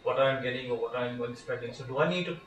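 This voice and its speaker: A man with an Indian English accent speaks up from the audience of a workshop at an ordinary pitch, pausing and restarting as he asks his questions. He sounds distant and roomy, with a faint hiss of noise behind him. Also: a bit bright. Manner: hesitant, halting questions. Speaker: workshop participant, startup founder. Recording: echoey room, far from the mic, faint noise.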